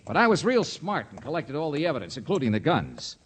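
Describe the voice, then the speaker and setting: An actor playing a tough, wisecracking American private eye in a 1950s radio drama, terse, wry and insistent, on a noisy old recording. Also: male voice, fairly high pitch, very animated, quick pace. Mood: urgent.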